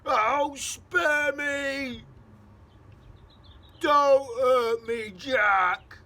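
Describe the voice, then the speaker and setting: A man's voice: very high voice, big pitch swings, very slow, vocal fry, pushed and bright, voice pushed up, heavily acted. A man voicing a huge child-eating giant who bellows and begs in panic. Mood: panicked pleading.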